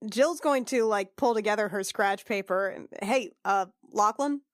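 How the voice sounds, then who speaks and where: A woman with an average-pitched voice talks through her moves in a tabletop game. She is casual and a little self-conscious, stopping and starting as she goes. The sound is dry. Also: normal speed, no echo. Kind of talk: casual in-character table talk.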